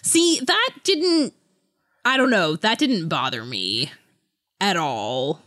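A woman with a lowish voice and very lively pitch movement chats casually as a podcast co-host. She takes her time, halting and restarting, with a giggly manner. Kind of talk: casual book review chat.

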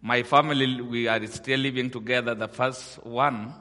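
A middle-aged man from Sudan, a missionary giving a guest sermon in slow, halting, simple English. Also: medium pitch, steady tone, bright, forceful tone, in a large hall. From South Sudan.

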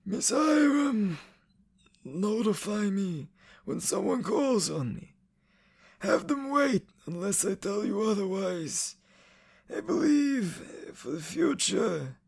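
A man playing a stern, commanding chief of officers in a radio drama, formal and deliberate, with a husky, creaky voice. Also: sits high, lively intonation, a little mellow, voice pushed up, full character voice. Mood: curt, formal.

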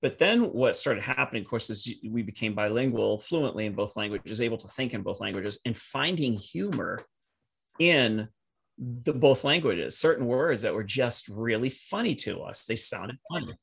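Creaky-voiced man telling stories casually. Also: mid-range voice, expressive, normal speed, fairly bright tone. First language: French. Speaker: podcast guest. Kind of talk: casual personal storytelling.

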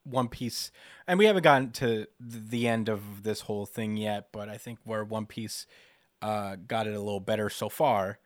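Man with lowish voice, thoughtfully talking. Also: normal speed, no echo, clean studio sound. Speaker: manga discussion podcast co-host. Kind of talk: casual analytical chat.

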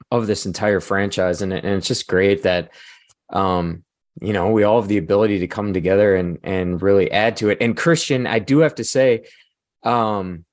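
A man with a lowish voice speaks on a casual roundtable podcast. The sound is dry, with very little room. He talks haltingly and repeats himself as he goes, earnest and full of appreciation. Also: no echo. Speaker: podcast panelist and amateur actor.

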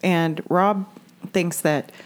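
A woman's voice: lowish voice, fairly even intonation, extremely slow. An American woman in her forties chatting casually and candidly as a co-host, with some swearing. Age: 40s. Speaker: podcast co-host. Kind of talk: casual chat.

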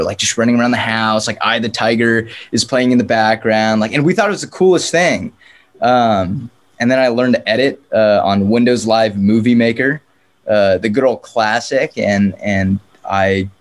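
Young man, lowish voice, easygoing chatty guest, lots of fillers. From San Diego, California. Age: young adult. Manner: relaxed, chatty, frequent "like" fillers. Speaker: guest filmmaker. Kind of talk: casual interview.

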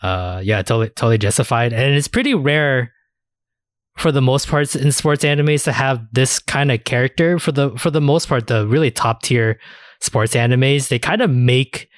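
A man's voice: mid-range voice, moderate pace, no echo, studio quality. A man hosting a casual anime discussion, talking in a rambling, slangy way with casual swearing. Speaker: podcast host.

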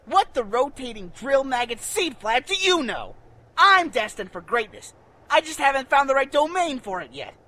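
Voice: high-pitched, sing-song, normal speed, bright, forceful tone, full character voice. An actor playing a foul-mouthed, hot-tempered young troll programmer in a fan radio play, ranting with exasperated sarcasm. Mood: boastful. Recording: music behind the voice.

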